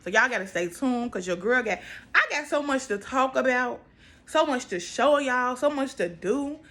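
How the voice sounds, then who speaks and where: A woman's voice: high voice, lively intonation, normal speed, a bit bright. An American woman in her twenties chatting casually to viewers, emphatic and repeating phrases for stress. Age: 20s. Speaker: vlogger. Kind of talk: casual personal vlog chat to viewers.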